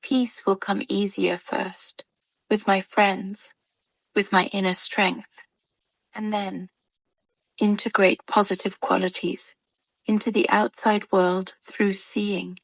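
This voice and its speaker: A woman leading a guided meditation with soothing, calm narration in short, measured phrases and gentle pauses. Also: medium pitch, creaky, dry recording.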